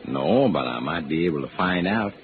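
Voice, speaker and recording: Through faint hiss and narrow old radio sound comes a folksy tipster. He drawls easily and colloquially, with pitch that slides up and down in a lively way. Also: moderate pace. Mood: teasing, easygoing. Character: folksy, easygoing gambling street informant, male. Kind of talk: old-time radio detective acting. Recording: noisy band-limited old radio recording.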